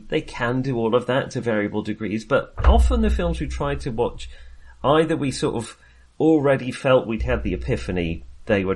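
A man co-hosting a film podcast, talking casually in a halting, rambling, self-deprecating way. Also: mid-range voice, husky.

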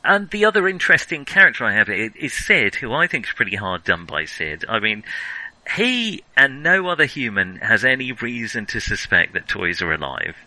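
A man's voice: medium pitch, big pitch swings, moderate pace, bright, forceful tone, low-quality recording. A man hosting a casual film discussion, thinking aloud and often rephrasing mid-thought. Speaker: podcast host.

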